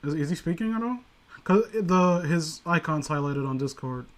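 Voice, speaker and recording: A man with a somewhat high voice talks casually as host of an online group call. He rambles through his opinions in an informal, profane way. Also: expressive, moderate pace. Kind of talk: casual chat, opinionated commentary.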